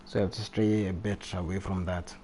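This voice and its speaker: A man's voice: low-pitched, flat delivery, fairly quick, a little hiss or hum. A man livestreaming a video game, chatting casually with viewers in an offhand, half-muttering way. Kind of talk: casual chat with viewers while playing. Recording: stream voice with loud game music. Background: video game music.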